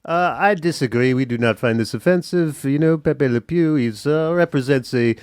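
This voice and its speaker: A man playing a snobbish Frenchman in a comic debate, with a French accent and a halting, indignant, pompous way of hunting for English words. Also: mid-range voice, clear, resonant tone, no room sound, clean studio sound, close to an everyday voice. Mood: smug. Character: haughty Frenchman proudly disdaining America, fussy and snobbish. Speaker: guest debater on a comedy show.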